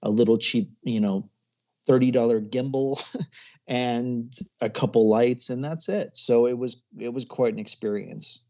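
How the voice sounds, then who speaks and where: A man's voice: mid-range voice, a little slow, mellow. Chatty man in a lo-fi interview. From grew up overseas, American parents. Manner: chatty, laughs often, restarts sentences. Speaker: guest filmmaker. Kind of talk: casual interview talk.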